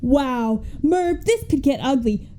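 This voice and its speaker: A woman's voice: high voice, animated, takes it slowly, warm tone. A young woman playing an earnest, emphatic sports color commentator in a comic radio play. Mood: earnest analysis. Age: young adult. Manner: earnest, emphatic analyst delivery. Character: female sports color commentator, earnest, keen, gravely serious.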